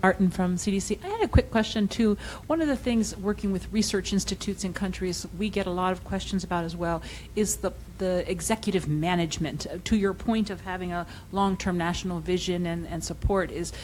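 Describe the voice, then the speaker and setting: Woman with lowish voice, faint room noise. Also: fairly quick, few pauses, darkish tone, poor audio. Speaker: audience member asking a question. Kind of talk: brief conference comment.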